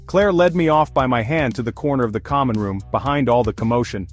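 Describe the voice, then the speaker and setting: Man, storyteller, first-person fantasy narration, comedic script, average pitch, guitar music underneath. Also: brisk. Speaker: narrator of an audio fiction series. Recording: music behind the voice.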